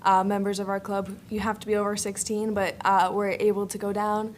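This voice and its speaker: A woman's voice: medium pitch, flat delivery, a little fast. A teenage young woman giving an informal group presentation as a student club member, in a chatty, upbeat way. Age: teens. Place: indoor school board meeting room. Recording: faint room noise, public meeting.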